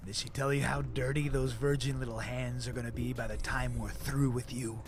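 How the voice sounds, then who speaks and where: An actor playing a menacing male vampire gang brute in a video game, with a mocking, drawn-out delivery that slips toward whispers. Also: medium pitch, level tone, close to an everyday voice. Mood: sneering, threatening.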